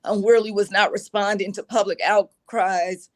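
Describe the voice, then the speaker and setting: A middle-aged woman with a raspy voice, speaking earnestly and reflectively as an interview guest, with many fillers. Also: medium pitch, fairly even intonation, moderate pace. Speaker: guest, executive communication advisor. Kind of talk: conversational interview.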